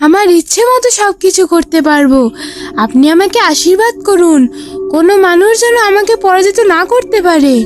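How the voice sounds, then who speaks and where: A woman's voice: extremely high pitch, animated, fairly quick, faint music behind. A woman voicing an evil, envious shadow sorceress in a fairy tale cartoon, theatrical and threatening. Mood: reverent, pleading.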